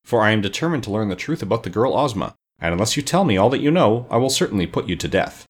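A man's voice: fairly low pitch, big pitch swings, fast, breathy edge. A man voicing a stern, composed woman sorceress in a storybook, speaking with formal authority. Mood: threatening. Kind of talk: storybook character voice.

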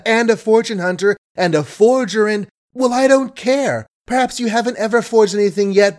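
A man's voice: medium pitch, lightly acted. A man voicing a scornful, tearful young woman who berates her suitor in emphatic, repetitive rants, in a storybook reading. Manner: emphatic, repetitive, ranting and mocking.

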